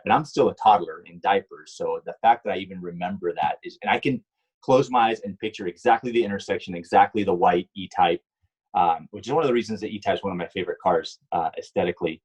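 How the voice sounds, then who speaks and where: A middle-aged man from Puerto Rico tells long stories with a very deep, husky voice. He rambles, restarts his sentences and fills pauses with ums as he answers questions. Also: poor audio. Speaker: guest, stunt driver and car designer. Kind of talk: casual interview answers.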